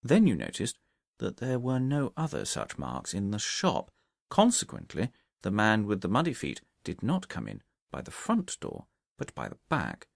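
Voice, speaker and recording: Man reading as a confident, deliberate male detective. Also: on the low side, huge pitch swings, creaky, no echo, clean studio sound, full character voice. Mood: methodical, instructing. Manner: reasoning aloud, deliberate, commanding. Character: confident, brisk, methodical male detective giving instructions. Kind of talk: audiobook character dialogue from a Victorian detective story.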